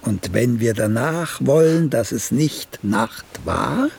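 A gravelly old man of letters in a radio play, vain and sardonic. He speaks slowly and theatrically, with wide swings of pitch. The phrases sometimes sink into breathiness. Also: lively intonation, a little mellow, slight background noise. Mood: musing, sardonic. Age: older. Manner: slow, theatrical, drifting into wry chuckles. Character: old, vain, sardonic once-celebrated playwright. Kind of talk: radio drama acting.

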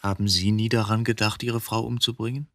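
A man's voice: lowish voice, little pitch movement, a little fast, sharp tone. Brooding, bitter middle-aged man. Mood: weary, evasive. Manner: evasive, philosophizing, bitter. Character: brooding middle-aged journalist confessing to killing his wife. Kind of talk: radio drama acting.

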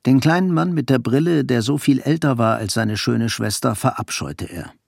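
Creaky-voiced man narrating a radio drama. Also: mid-range voice, normal speed, darkish tone, dry recording, clean studio sound.

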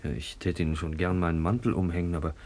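A man plays a young policeman in a radio drama. His voice is deep, his manner self-satisfied and self-important. He speaks, as a dashing suitor. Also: level tone, fast, a little mellow, natural voice. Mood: jovial, dutiful. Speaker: actor in a radio play. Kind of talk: radio play acting.

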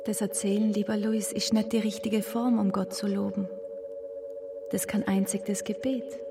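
A woman's voice: mid-range voice, little pitch movement, moderate pace, vocal fry, background noise, natural voice. A woman playing a stern, serene Mother of God, solemn and admonishing, in a radio play. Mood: solemn, reproving. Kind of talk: German radio play acting. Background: music.

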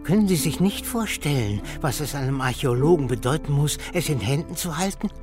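An actor playing an arrogant, obsessed older archaeology professor in a radio drama, lecturing and full of contempt. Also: male voice, fairly high pitch, animated, fairly quick, lightly acted. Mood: fervent. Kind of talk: German radio drama acting. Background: music.

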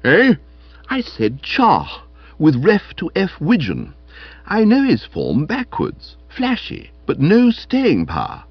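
A man plays a haughty young upper-class English gentleman in a comic audiobook. He is airy and flippant, with a raised pitch that leaps about extravagantly. The sound is dry and slightly dull. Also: wildly swooping pitch, takes it slowly, slightly rough, no echo, soft background music, full character voice. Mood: scornful, breezy. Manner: airy, scornful, breezily self-satisfied.

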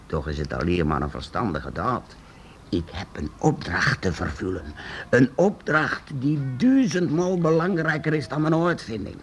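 An actor playing a secretive, eccentric master painter-inventor in a radio play, hesitant and halting, then urgent. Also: male voice, medium pitch, lively intonation, a little hiss or hum, lightly acted. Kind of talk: radio drama acting.

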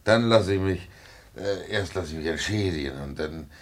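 An actor playing a bitter, hard-drinking former tram driver in a radio play, hesitant and grumbling. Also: male voice, deep voice, moderate pace. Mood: sullen, boastful. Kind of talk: naturalistic German radio play dialogue.

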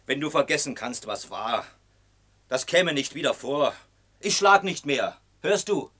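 An actor playing a drunken, aggressive and pleading former lover in a German radio play, insistent and blustering. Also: male voice, fairly high pitch, very animated, unhurried, a bit bright, heavily acted.

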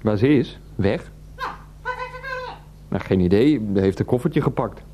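An actor playing a henpecked grown son in a radio play, placating and halting as he talks to his mother. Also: fairly low pitch, animated, noisy background. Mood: flustered, placating.

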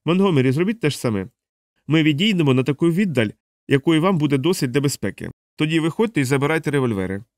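A man's voice: on the high side, animated, quick pace, dry recording, studio quality, voice pushed up, close to an everyday voice. A man voicing a resolute, commanding scientist who lectures and reasons insistently in an audiobook story. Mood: urgent, persuasive. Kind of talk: audiobook character dialogue.

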